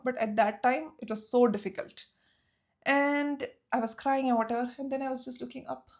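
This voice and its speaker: Chatty young South Indian woman, emphatic. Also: a little high. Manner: chatty and emphatic, rants with rising indignation. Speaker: vlogger. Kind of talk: storytime rant to camera.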